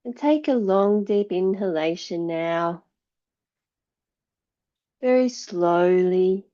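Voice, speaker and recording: A woman teaching yoga, leading a guided meditation in a calm, measured, soothing way with long pauses between phrases. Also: mid-range voice, muffled recording.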